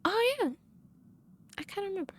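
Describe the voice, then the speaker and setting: A woman's voice: extremely high pitch, expressive, brisk, a bit bright, slight background noise. A woman chatting casually as she live streams a game, giggly and meandering.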